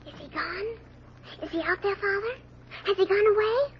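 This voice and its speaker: A woman's voice: very high voice, normal speed, over music. A woman playing an innocent, inquisitive little girl in an old radio drama, with a childlike, questioning way of talking. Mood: curious, uneasy. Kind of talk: 1930s radio drama acting. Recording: noisy, dull lo-fi old radio recording.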